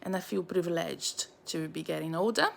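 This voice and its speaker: A woman's voice: on the low side, moderate pace, creaky, slight background noise. A Brazilian-born woman of nearly forty with a Brazilian Portuguese accent, telling her life story in a chatty personal vlog, full of fillers and restarts. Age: 30s. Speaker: vlogger.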